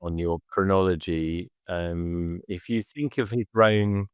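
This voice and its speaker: A man co-hosting a podcast and explaining history in casual chat, measured and hesitant with many ums. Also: low-pitched, steady tone, clean tone, no room sound, clean studio sound.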